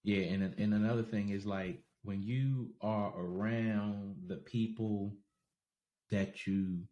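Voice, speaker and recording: Man, faint new york accent, podcast co-host, slow unhurried pace, laid-back, laughs easily. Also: on the low side, fairly even intonation, warm tone, muffled recording. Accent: faint New York, softened by travel. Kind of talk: casual podcast chat.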